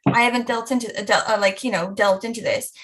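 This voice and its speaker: A woman's voice: a little high, fairly even intonation, fast, fairly bright tone. A young woman in casual book chat, gushing and peppering her speech with "like". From Wales. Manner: gushing, lots of "like" fillers. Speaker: booktuber co-host.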